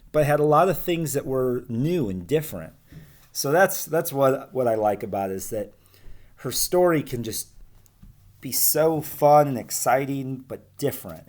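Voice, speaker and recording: A man's voice: medium pitch, a little mellow. A man, a schoolteacher, reading a picture book aloud and chatting casually to his students.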